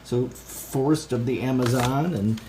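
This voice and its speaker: A man's voice: mid-range voice, a little slow, noisy background. Man rambling enthusiastically in a casual vlog. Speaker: vlogger, tape collector. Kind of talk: casual show-and-tell vlog. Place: indoor home room. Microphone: handheld phone near the speaker.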